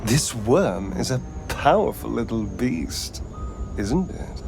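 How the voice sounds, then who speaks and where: An actor playing a suave, sardonic vampire rogue in a video game, with an arch, theatrical drawl. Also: male voice, mid-range voice, big pitch swings, takes it slowly, gravelly, exaggerated voice. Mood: smug, teasing. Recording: music playing behind the voice.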